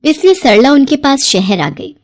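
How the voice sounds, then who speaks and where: High-voiced woman narrating a story. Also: very animated, measured pace, gravelly.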